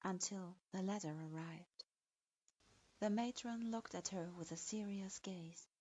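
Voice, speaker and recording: A woman narrating fan fiction in a slow, measured reading. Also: medium pitch, mellow, breathy edge. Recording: dull lo-fi recording.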